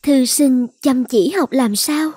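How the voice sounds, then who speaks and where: A mysterious young cartoon maiden, acted by a woman, coy and coaxing. Her voice is high yet soft-toned and mellow, with faint music behind. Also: slow. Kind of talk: cartoon fairy-tale dub.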